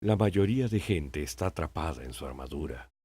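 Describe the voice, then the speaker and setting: A man playing a wise, patient old king in an audiobook, speaking in a measured, sententious way. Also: very deep voice, animated, mellow, breathy edge, heavily acted. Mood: calm, teaching. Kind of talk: audiobook character acting.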